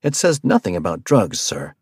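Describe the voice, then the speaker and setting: Storybook: by-the-book male clerk, read by a man, curt and formal, lower voice. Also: very animated, moderate pace, a little mellow, breathy edge, no room sound, clean studio sound, full character voice. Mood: stiff. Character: stiff rule-bound male supply clerk.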